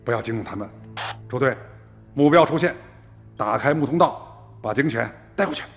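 A man playing a shrewd, composed police captain with a measured, commanding delivery in a radio drama. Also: medium pitch, lively intonation, soft background music, natural voice.

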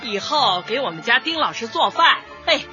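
An actress playing a cheerful, devoted older community dance organizer in a radio comedy, exclamatory and folksy. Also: female voice, a little high, sing-song, normal speed, sharp tone. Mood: cheerful, bustling. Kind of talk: light comedy radio drama acting. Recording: dull lo-fi audio, faint music.

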